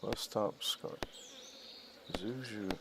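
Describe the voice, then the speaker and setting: A man giving casual commentary on a game he is playing, muttering and thinking aloud in short fragments over loud noise and clicks. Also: on the low side, drawn-out words, creaky. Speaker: gaming video host.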